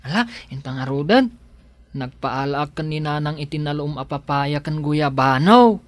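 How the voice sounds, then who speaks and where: A man's voice: on the high side, animated, normal speed, no room sound, close to an everyday voice. A man playing a bashful, devoted young suitor of modest means in an Ilocano radio drama, speaking hesitantly. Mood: tender, modest.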